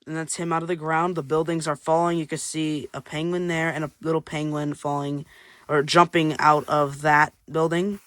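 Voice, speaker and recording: A casual creator narrates a homemade comic in a mid-pitched voice. The delivery rambles, with frequent unsure asides. The sound is dry, with very little room in it. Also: little pitch movement, normal speed, fairly bright tone, dry recording. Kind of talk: casual read-aloud commentary.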